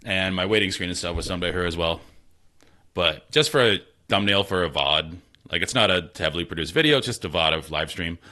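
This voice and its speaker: A man's voice: a little fast, pushed and bright. A man streaming games from a small spare room at home, chatting casually and self-deprecatingly with viewers. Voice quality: low voice. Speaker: gaming streamer. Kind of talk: casual live-stream chat. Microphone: desk mic. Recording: slightly tinny home stream mic, faint noise.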